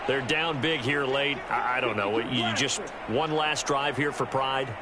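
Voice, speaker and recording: A man playing an American play-by-play announcer, calling football in a composed, brisk way for a video game. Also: medium pitch, natural voice. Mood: engaged, composed. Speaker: play-by-play sports commentator. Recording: loud noise behind the voice, game stream.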